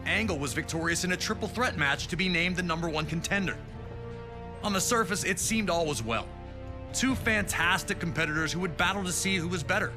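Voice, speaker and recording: A man with a fairly high voice hosts a sports documentary. His delivery is scripted narration that builds up a rivalry. Music plays clearly underneath. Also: expressive, brisk. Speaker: documentary host. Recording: music behind voice.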